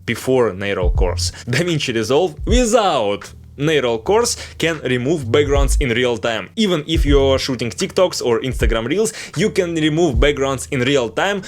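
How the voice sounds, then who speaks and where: A man's voice: mid-range voice, big pitch swings, moderate pace, soft background music. A young man whose first language is Russian, speaking English with a Russian accent, ranting in a frustrated, opinionated tech vlog full of fillers and short laughs. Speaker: video editing YouTuber.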